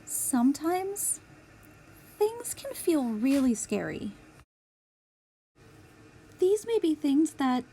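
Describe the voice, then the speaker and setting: A woman reading a picture book aloud as a storytime host, with deliberate, clear phrasing for young children. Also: high-pitched, big pitch swings, warm tone. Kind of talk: children's read-aloud narration.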